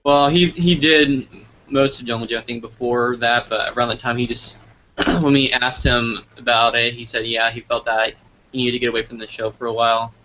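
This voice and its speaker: A man's voice: medium pitch, little pitch movement, moderate pace, pushed and bright, lo-fi sound. A man hosting a casual live interview over an online call, informal and thinking aloud as he goes. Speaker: interviewer and host. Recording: noisy, band-limited online call audio.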